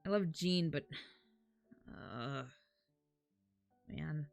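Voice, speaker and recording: A young woman streamer giving casual, excitable live reactions, with gasps and easy swearing. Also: sits low, extremely slow, gravelly, no room sound. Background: music and program audio.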